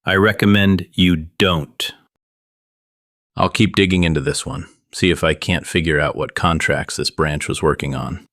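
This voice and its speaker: A man's voice: sits low, fairly even intonation, a little slow, a bit bright, no echo, studio quality. A man playing a wry conspiracy show host in an audio drama, talking in a conversational, conspiratorial way. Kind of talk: scripted audio drama monologue as talk-show broadcast.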